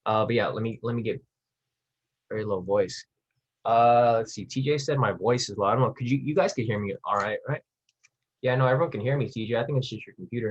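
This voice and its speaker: Husky man teaching a casual online webinar. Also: medium pitch, muffled recording. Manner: informal, slangy, conversational, checks in with listeners. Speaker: trading instructor hosting a live webinar.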